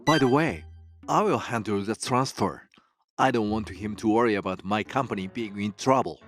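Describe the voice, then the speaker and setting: A man's voice: on the low side, moderate pace, lightly acted. A man voicing an earnest, apologetic middle-aged father in a manga dub. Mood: pleading, grateful. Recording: music bed behind the voice.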